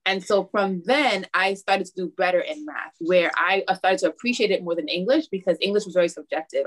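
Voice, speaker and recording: A woman from Harlem, New York, answers interview questions in a somewhat low voice. She talks candidly and casually, pausing on fillers as she recalls things. She sounds like a math teacher speaking about her own path. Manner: candid, with hesitant fillers and soft laughs.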